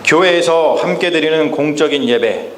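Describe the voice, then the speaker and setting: A man's voice: a little high, normal speed, a little hiss or hum. Emphatic male pastor in an echoey church. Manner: emphatic preaching, often addresses the congregation. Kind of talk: Sunday sermon. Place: church sanctuary. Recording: echoey church with faint ambience.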